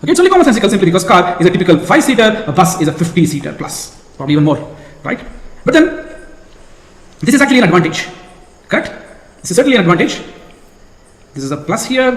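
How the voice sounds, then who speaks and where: Animated lecturer in an echoey hall. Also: male voice, high voice, fast talker, pauses often. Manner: lively, asks rhetorical questions. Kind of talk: recorded course lecture.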